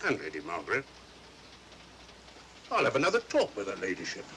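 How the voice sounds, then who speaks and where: An actor playing a dogged, sceptical police detective in a 1940s film, blunt, questioning and suspicious. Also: male voice, lowish voice, wildly swooping pitch, fairly quick. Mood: brooding. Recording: noisy old 1940s film soundtrack.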